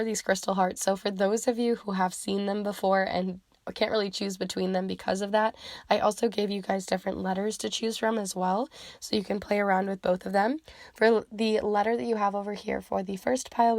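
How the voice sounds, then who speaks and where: A woman reading tarot cards for viewers, chatty and rambling with many fillers, her voice croaky and fading from a cold. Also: medium pitch, a little mellow, no echo, clean studio sound. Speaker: tarot reader. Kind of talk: pick-a-card reading intro.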